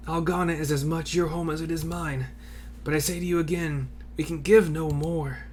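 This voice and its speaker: A man voicing a firm, weary villager in game dialogue, speaking in an emphatic, measured way. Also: fairly high pitch, fairly even intonation, a little mellow, slight background noise, natural voice. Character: firm, weary villager refusing further help. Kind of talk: reading game dialogue aloud.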